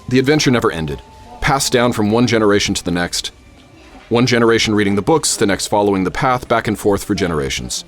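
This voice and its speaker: A man plays an adventurous professor narrating a radio play. His low voice moves up and down with theatrical flair, and music runs behind it. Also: natural voice. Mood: reflective. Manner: measured, literary, theatrical storytelling. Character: adventurous, wary gentleman professor recounting his exploits. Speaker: narrator and lead character. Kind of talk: radio theater, dramatic first-person narration.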